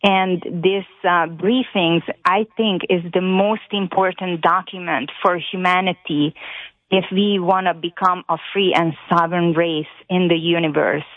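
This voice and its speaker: Over a narrow, tinny telephone connection, a woman with a Hungarian accent speaks as a radio caller. Her voice is a little low, and she gives an earnest, emphatic account in non-native English. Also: fairly even intonation, measured pace, no room sound. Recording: tinny narrow phone line.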